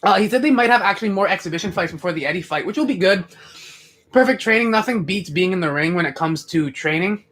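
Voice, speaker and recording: A young man with a fairly high voice chats to viewers on a live stream. He rambles, restarts his sentences and fills the gaps with ums and likes. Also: expressive, fast, fairly bright tone. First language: English. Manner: rambling, chatty, many fillers and restarts. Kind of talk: casual live commentary chat.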